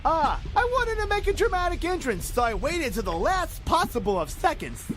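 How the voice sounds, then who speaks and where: Very high-pitched theatrical crazy wizard. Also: male voice, big pitch swings, normal speed, a bit bright, slight background noise, heavily acted. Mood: showy. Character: eccentric, theatrical wizard, a showy crazy magician. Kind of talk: fantasy audio drama acting.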